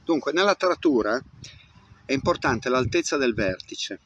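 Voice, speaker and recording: A man's voice: medium pitch, expressive, normal speed, a bit bright, husky, lo-fi sound. A man in his fifties talking through a casual hands-on tutorial, half to himself, outdoors on a camera microphone. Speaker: amateur radio hobbyist demonstrating antenna tuning. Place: open grassy field outdoors. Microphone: camera mic, varying distance. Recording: noisy outdoor recording with clicks.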